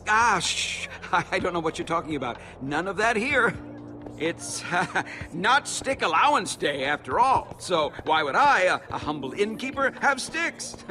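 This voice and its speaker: An actor playing a nervous, evasive innkeeper in a video game, fussy, stammering and defensive. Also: male voice, high-pitched, sing-song, a little slow, heavily acted. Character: nervous, shifty, evasive male innkeeper with secrets. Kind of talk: animated game voice acting. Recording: loud music behind the dialogue.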